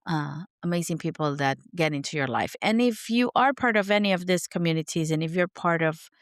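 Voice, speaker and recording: A raspy, hoarse woman with a sore throat hosting her podcast in an unscripted, rambling chat, laughing at herself. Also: lowish voice, lively intonation, fairly quick, no room sound, clean studio sound. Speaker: podcast host.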